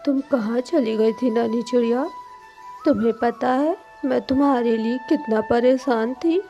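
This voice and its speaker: A woman's voice: a little high, a little slow, mellow, highs cut off, soft background music, voice pushed down. A woman voicing a caring, anxious mother bird in a cartoon moral story, with a tender maternal manner. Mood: worried.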